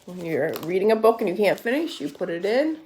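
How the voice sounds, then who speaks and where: A woman reading picture books aloud to a young child as a storyteller, pausing to explain things simply. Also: mid-range voice, sing-song, noisy background. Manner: simple explanations to a child between read passages.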